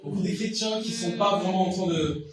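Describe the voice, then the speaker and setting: A man's voice: sits high, fairly quick, a crowd in the background. A young man from Brazil with a Brazilian Portuguese accent, preaching an informal, conversational sermon with hesitant restarts and many fillers. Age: young adult. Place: church hall. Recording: echoey church hall, distant mic, congregation. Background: congregation responding.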